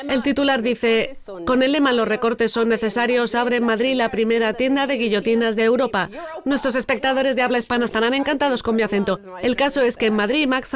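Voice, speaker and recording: A woman co-hosting a financial news show, telling news items in a brisk, wry way. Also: on the high side. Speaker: co-host. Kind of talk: dubbed financial news commentary.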